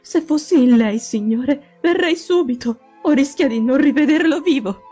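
A woman's voice: high-pitched, normal speed, mellow, soft background music, pitched above the performer's own voice, lightly acted. A woman reading an audiobook voices a frightened older housekeeper, urgent and pleading with worry. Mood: anxious pleading.

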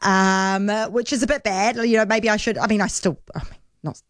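A woman's voice: medium pitch, fairly even intonation, normal speed, no room sound, studio quality. A woman with a New Zealand accent hosting talkback radio, chatty and agreeable, laughing easily with callers. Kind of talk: casual radio chat with callers. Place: radio studio.

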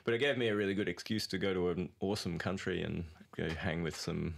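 Very deep-voiced, jokey man. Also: steady tone, fast talker, gravelly. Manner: jokey, laughs often while talking. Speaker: band musician being interviewed. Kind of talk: casual chat.

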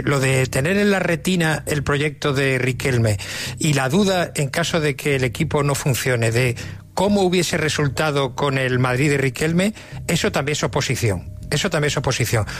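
A man's voice: mid-range voice, moderate pace. A man analysing football on a live panel, insistent and restating his point. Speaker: sports pundit on a panel.

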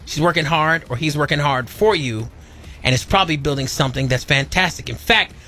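Bright, emphatic man chatting casually. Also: on the high side, fairly quick. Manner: emphatic, slangy, explanatory. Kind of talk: casual opinionated conversation.

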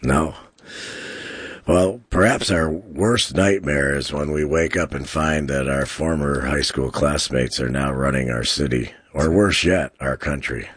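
A man hosting a live call-in radio show from a studio, trading dad jokes and talking faith and prophecy in a relaxed, jokey way. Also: rumbling low, unhurried, husky, dry recording. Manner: jokey, chuckles at his own dad jokes. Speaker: radio talk show host. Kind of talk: casual radio banter and sermon-like talk.

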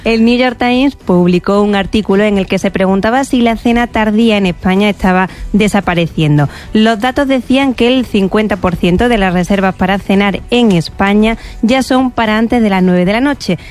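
A woman presenting a news segment on a radio show, lively and informative. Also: medium pitch, moderate pace, hardly any pauses. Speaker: radio contributor.

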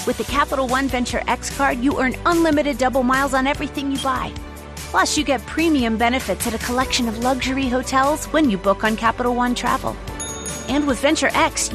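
A woman's voice: high voice, moderate pace, music in the background. A woman reading a scripted commercial as an announcer. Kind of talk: scripted ad read.

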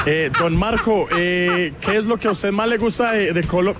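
A man's voice: high-pitched, moderate pace, noisy background. A man with a Colombian accent chatting casually and doing live interviews as a roving reporter. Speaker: roving radio show reporter.

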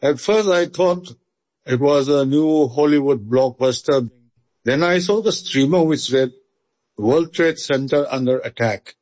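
A man with an Indian accent, slowly and reflectively recalling a loss for a news feature. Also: medium pitch, a little mellow, dry recording. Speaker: interviewee. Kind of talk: recorded remembrance.

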